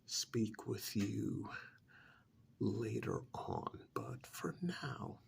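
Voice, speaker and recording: A man playing a polite, fussy official in a soft-spoken ASMR role-play, whispering in a formal, hesitant, courteous way. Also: lowish voice, wildly swooping pitch, extremely slow, heavily acted. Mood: officious, apologetic. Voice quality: soft, breathy whisper with gravelly edge. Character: polite, fussy, methodical male tax official auditing non-human clients.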